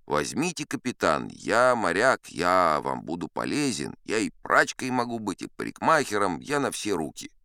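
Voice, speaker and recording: A man plays a small, deferential Japanese fellow begging to be taken on as a sailor. He is humble yet insistent, with a lively, moving pitch, in a dry audiobook recording. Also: moderate pace, vocal fry, few pauses, dry recording, exaggerated voice. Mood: pleading, insistent. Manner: pleading, deferential. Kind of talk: audiobook character acting.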